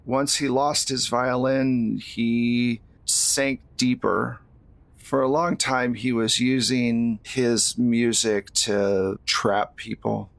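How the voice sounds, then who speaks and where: A solemn young man from a fantasy tabletop game, played by a man. He speaks gravely and with measured care, as if laying out an old family tale. The voice has a creaky edge and sits close and dry. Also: mid-range voice, steady tone, no echo. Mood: somber, explaining.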